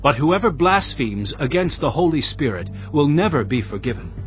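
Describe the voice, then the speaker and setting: An authoritative, earnest teacher from a scripture audio drama. His delivery is emphatic and very varied in pitch, with a mid-range voice. The sound is slightly dull, with music behind him. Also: sing-song, moderate pace. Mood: stern teaching.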